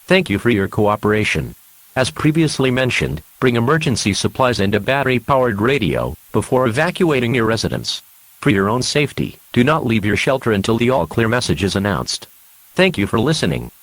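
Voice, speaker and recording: A man playing an earnest radio news announcer, reading a scripted emergency alert in a formal, measured manner. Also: mid-range voice, very animated, no echo. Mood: grave, formal.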